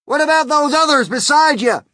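A man voicing an excitable, alarmed police chief in a storybook, blustering and shouting. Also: high voice, takes it slowly, husky, dry recording, clean studio sound, pitched above the performer's own voice, heavily acted.

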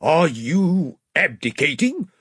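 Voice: medium pitch, sing-song, slow pace, a bit bright, husky, no echo, clean studio sound, pitched above the performer's own voice, full character voice. A reader voicing a loyal ship's captain who speaks to his king with deference. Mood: respectful.

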